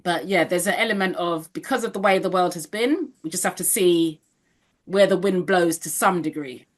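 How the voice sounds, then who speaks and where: A woman's voice: fairly low pitch, normal speed. A woman in her fifties hosting an interview podcast, speaking in a reflective, affirming way. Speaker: podcast host. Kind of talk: casual interview chat.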